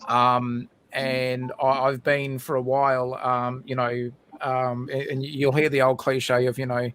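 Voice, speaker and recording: A man's voice: mid-range voice, level tone, a little slow, a bit bright, a little hiss or hum. A man with an Australian accent, a guest chatting casually on a livestream, rambling with many fillers and restarts. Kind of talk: casual chat.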